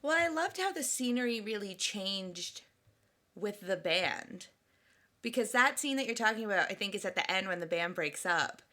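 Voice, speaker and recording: A woman's voice: mid-range voice, animated, moderate pace, pushed and bright. A woman co-hosting a podcast in casual chat, giggly and chatty, often restarting her sentences. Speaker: podcast co-host.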